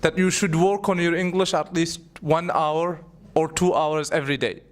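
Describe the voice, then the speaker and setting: High-voiced young male English teacher. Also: normal speed. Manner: animated, repeats words while thinking. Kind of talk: classroom question-and-answer.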